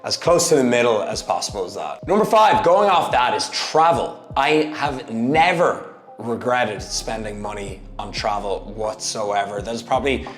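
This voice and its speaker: A man of thirty, giving an emphatic motivational vlog talk, swinging from loud bursts to low asides. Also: expressive, moderate pace. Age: 30s. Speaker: fitness YouTuber. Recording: echoey room with faint music. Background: faint background music.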